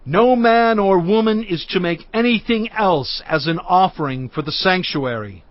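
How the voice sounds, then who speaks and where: Moses, a solemn proclaiming leader. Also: male voice, high voice, expressive, unhurried, no echo. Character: Moses, aged male leader addressing people. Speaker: voice actor. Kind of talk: dramatized scripture reading. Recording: dull, dry studio recording.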